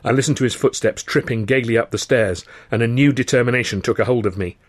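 A man's voice: mid-range voice, quick pace, dry recording. Wry male storyteller, dry sound. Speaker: author reading own novel. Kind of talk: scripted first-person audiobook narration.

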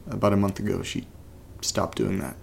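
A man playing an uneasy, reluctant character in a horror audio drama, speaking haltingly in a naturalistic monologue, as if muttering to himself. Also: fairly low pitch, little pitch movement, creaky, darkish tone, a little hiss or hum. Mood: uneasy, subdued. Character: uneasy, reluctant man exploring a creepy place and reading strange letters. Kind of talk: naturalistic found-recording audio drama monologue, partly reading a letter aloud.

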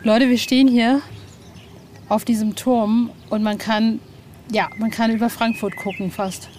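Excited woman podcaster, highish voice, slangy chat outside, bit of background noise. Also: a little slow. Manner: enthusiastic. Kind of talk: casual, slangy chat on location. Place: outdoors at a castle tower. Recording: faintly noisy outdoor location recording.

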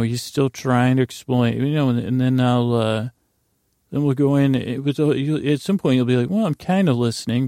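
Guy doing a sleepy bedtime podcast ramble, creaky voice, real flat, keeps trailing off, dry close mic. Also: mid-range voice, no room sound. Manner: meandering, halting, trails off and restarts; slow, easy, soothing delivery. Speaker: podcast host. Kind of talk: rambling bedtime monologue to lull listeners to sleep.

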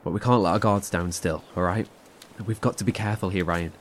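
A man's voice: deep voice, fairly even intonation, brisk, slight background noise, close to an everyday voice. Man acting a hot-headed, wary bloke. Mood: cautious, guarded. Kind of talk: audio drama acting.

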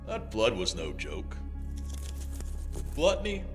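An actor in an audio drama playing a lazy, petulant God who is easily enraged. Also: male voice, lowish voice, huge pitch swings, big-room sound, over music, exaggerated voice. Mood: grumbling. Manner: slow drawling speech bursting into bellowing outrage.

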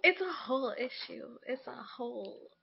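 Higher-pitched young woman, candid podcast chat. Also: lively intonation, moderate pace, vocal fry, lo-fi sound, slight background noise. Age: young adult. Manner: candid, opinionated, acts out imagined quotes. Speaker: podcast co-host. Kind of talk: casual conversational discussion.

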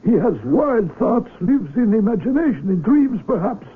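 A concerned village leader with a strikingly high, husky voice, pleading earnestly in a radio play. The sound is narrow, like an old broadcast. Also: male voice, big pitch swings, moderate pace, exaggerated voice. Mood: worried, compassionate. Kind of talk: radio drama acting.

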